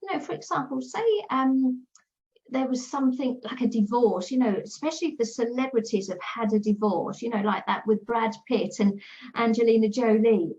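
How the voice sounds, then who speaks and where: A woman's voice: fairly high pitch, moderate pace, darkish tone, muffled recording. A woman talking as a guest expert, giving direct, practical PR advice in a casual chat and often repeating her points.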